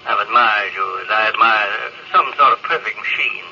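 A man's voice: fairly low pitch, moderate pace, vocal fry. An actor playing a candid, disillusioned spaceman in an old radio drama, blunt, sardonic and weary in manner. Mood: cutting, candid. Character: candid, resigned, bitterly disillusioned spaceman, male. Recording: muffled old radio broadcast, faint hiss.

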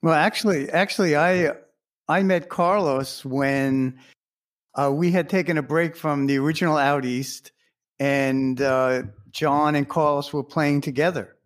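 A man's voice: fairly high pitch, fairly even intonation, a little slow. A man in a band recalling how he met a bandmate, reflective and with many fillers. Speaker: band member. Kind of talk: casual interview talk.

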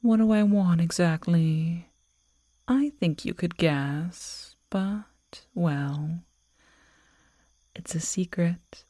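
A woman's voice: on the low side, mellow, no room sound. A woman playing a predatory, teasing werewolf girlfriend in an intimate, half-whispered ASMR roleplay, close to the microphone. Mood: playful, predatory.

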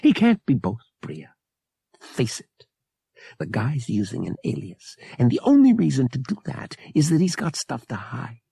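A man's voice: mid-range voice, huge pitch swings, unhurried, gravelly, warm tone, slightly rough, no echo, studio quality, voice pushed up, full character voice. A man voicing an indignant, suspicious young brother who argues in an emphatic, exasperated way. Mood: accusing. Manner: emphatic, argumentative.

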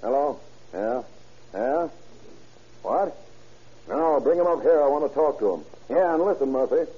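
A man's voice: medium pitch, animated, a little slow, mellow, slightly rough. An American actor playing a terse, hard-boiled man in an old radio crime drama, on a muffled, noisy recording. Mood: brisk, urgent. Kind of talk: 1930s-40s radio crime drama acting.

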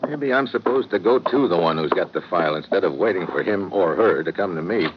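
An actor playing a laconic, wry American secret agent in an old-time radio drama. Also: male voice, low-pitched, lively intonation, a little fast. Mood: cool, businesslike. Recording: muffled, noisy old radio recording.

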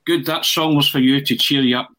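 A man's voice: mid-range voice, steady tone, brisk, bright, forceful tone. A man hosts a football podcast in casual chat. He is jokey and playful, telling stories and teasing his guests, and he laughs often. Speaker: podcast host.

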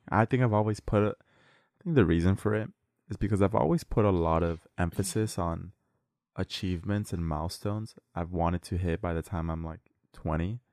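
A man's voice: on the low side, darkish tone, no echo, clean studio sound. A man of nineteen, co-hosting a podcast, talking in a casual, rambling and earnest way with many fillers. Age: late teens. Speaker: podcast co-host.

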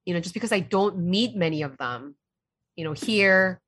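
A woman with a bright but husky voice, talking casually as a guest on a show. She is thoughtful and hesitant, feeling her way through what she says. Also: fairly low pitch, animated, moderate pace. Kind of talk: casual chat.

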